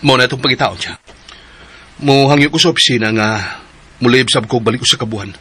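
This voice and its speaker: A man's voice: mid-range voice, a little fast, sharp tone, slight background noise, natural voice. An actor playing a determined husband searching for his missing wife in a radio drama. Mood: earnest. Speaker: nephew.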